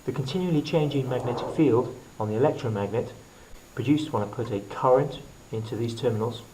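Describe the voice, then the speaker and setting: Noisy clicky recording, guy with mid voice doing a science demo like a teacher, short deliberate phrases. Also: medium pitch, mellow. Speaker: science teacher presenting a demonstration. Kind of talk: hands-on science demonstration, explaining to camera.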